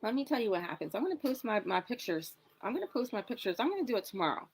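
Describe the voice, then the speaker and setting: A woman in her forties talking in a casual social media video about her health, in a chatty, dramatic way, with sudden jumps between loud and soft. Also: a little high, very animated, very fast, breathy edge. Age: 40s. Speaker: wellness product promoter in a clip.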